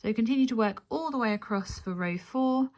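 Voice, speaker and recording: A woman's voice: on the high side, a little fast, darkish tone. A woman hosting a crochet tutorial, giving step-by-step instructions and counting aloud as she goes.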